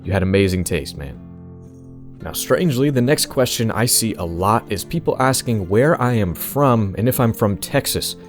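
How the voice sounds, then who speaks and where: Laid-back low-voiced man from California. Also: expressive, normal speed, faint music behind. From Northern California. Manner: laid-back, conversational, slangy. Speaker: video game channel host. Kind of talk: casual channel update and Q&A.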